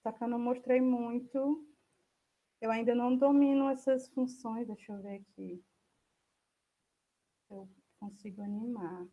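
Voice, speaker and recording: A Brazilian woman giving an informal online software demo, thinking aloud hesitantly as she presents and answers questions. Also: on the high side, steady tone, unhurried, pauses often, dull audio. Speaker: speaker giving an online talk.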